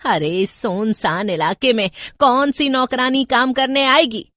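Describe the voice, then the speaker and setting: A woman voicing a sarcastic, scolding old mother-in-law in a horror story, grumbling in sharp rhetorical questions. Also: fairly high pitch, measured pace, no echo, clean studio sound, voice pushed up. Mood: angry, scolding. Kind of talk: Hindi horror storybook narration with voices.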